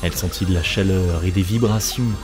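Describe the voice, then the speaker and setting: A man's voice: sits low, brisk. A man narrating a scripted story for a cartoon, as a storyteller. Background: music.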